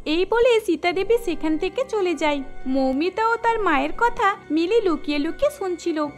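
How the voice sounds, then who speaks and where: Very high-pitched woman narrating a cartoon. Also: animated, moderate pace, faint music behind. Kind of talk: scripted cartoon story narration.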